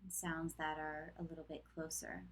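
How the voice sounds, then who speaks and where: A woman teaching yoga nidra, leading a guided meditation in a calm, gentle manner with long pauses between short cues. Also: sits low, breathy edge.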